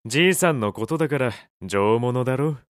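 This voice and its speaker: An actor playing a blunt, impatient younger man with rough colloquial speech in an audio drama. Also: medium pitch, animated, moderate pace, no echo, clean studio sound, natural voice. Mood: dry, impatient. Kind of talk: Japanese audio drama acting.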